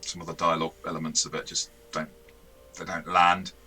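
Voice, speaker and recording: Low, very bright-voiced man. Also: flat delivery, fairly quick, breathy edge. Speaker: tabletop game master and review show co-host. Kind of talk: casual review discussion.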